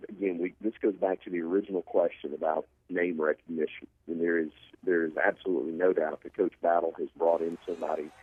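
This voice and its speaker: A man with a very deep, rough-edged voice gives sports analysis as a guest. He makes his points emphatically and restates them. The sound is narrow, like a telephone, and quite dry. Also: no room sound. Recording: narrow phone-like line.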